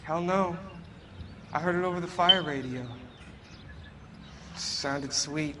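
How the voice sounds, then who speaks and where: An actor playing a shifty, evasive arson suspect in a video game, guarded and terse. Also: male voice, on the high side, slow pace, background noise. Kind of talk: dramatic video game acting.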